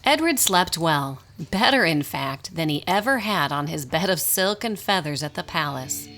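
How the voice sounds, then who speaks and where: A woman narrating a scripted radio drama in an expressive storybook style. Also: deep voice, normal speed, faint music behind.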